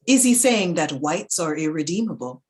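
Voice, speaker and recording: A woman giving a dramatic reading of a literary essay in a measured, reflective, articulate manner. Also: sits low, big pitch swings. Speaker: reader in a staged reading.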